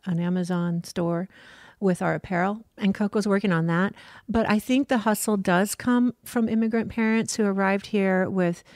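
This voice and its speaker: A woman's voice: medium pitch, darkish tone, no room sound, clean studio sound. Passionate woman surf school founder. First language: French. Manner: earnest, passionate, laughs easily. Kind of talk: casual interview talk.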